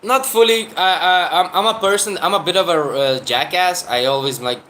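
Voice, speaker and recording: Man in his thirties, a travel vlogger chatting on a livestream: rambling, restarts a lot, slightly higher voice, faint hiss behind. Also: a little fast, a bit bright. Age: 30s. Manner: rambling, with frequent restarts and fillers. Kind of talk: casual livestream chat.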